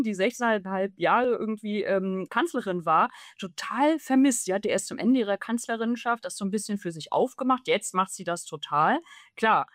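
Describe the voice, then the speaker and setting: A woman in her thirties, an author answering interview questions in long, animated run-on sentences. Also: medium pitch, dry recording, studio quality. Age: 30s.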